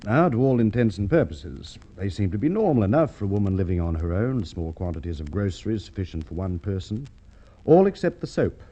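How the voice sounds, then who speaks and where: An actor playing a shrewd police inspector with an Australian accent, deliberate and courteous in the style of golden age radio drama. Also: male voice, on the low side, big pitch swings, dark tone. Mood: musing, assured. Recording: dull vintage radio drama recording.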